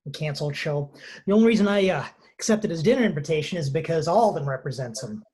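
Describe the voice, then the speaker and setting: A man plays a doubtful husband and TV writer. His voice is husky, and he dismisses things dryly and offhandedly, as in a table read. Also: fairly high pitch, lively intonation, fast talker, darkish tone. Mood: dry, dismissive. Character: skeptical, dismissive married male TV writer. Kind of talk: dramatic screenplay table read.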